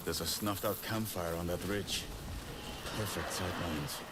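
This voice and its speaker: Low-voiced, courteous samurai lord. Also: male voice, very noisy. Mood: grave, observant. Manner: measured, formal, diplomatic. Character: courteous, dutiful samurai lord hunting outlaws. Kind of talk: video game dramatic acting.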